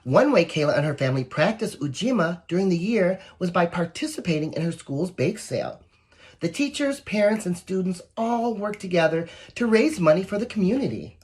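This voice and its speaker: Husky woman reading expressively to children. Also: lowish voice, brisk. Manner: expressive, encouraging, talks to young listeners. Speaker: volunteer story reader. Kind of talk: read-aloud picture book for children, with asides.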